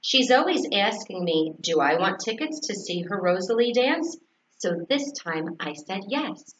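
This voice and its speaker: Animated woman reading a storybook, echoey. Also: medium pitch, unhurried. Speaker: fourth grade teacher. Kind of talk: children's storybook read-aloud with dialogue. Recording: echoey, dull, faintly noisy recording.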